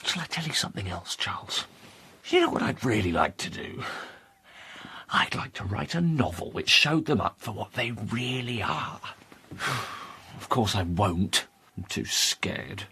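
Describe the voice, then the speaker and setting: A man's voice: fairly low pitch, expressive, unhurried, pushed and bright, husky, exaggerated voice. An actor playing a flustered, genteel, tipsy English man of letters in a period radio comedy, effusive and self-pitying. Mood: drunken resentment. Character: flustered, obsequious, bitter tipsy literary gentleman.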